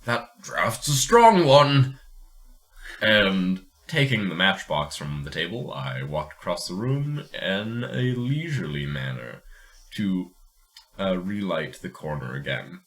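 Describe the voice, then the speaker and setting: A man reading aloud as the skeptical gentleman narrator of an old ghost story, in formal, measured phrasing. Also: deep voice, big pitch swings, full character voice. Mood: startled, composed.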